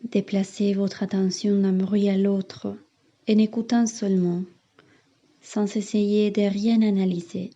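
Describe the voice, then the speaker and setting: A woman yoga teacher leading a guided meditation in soothing, measured instructions with long pauses, on a slightly muffled recording. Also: medium pitch, flat delivery, warm tone.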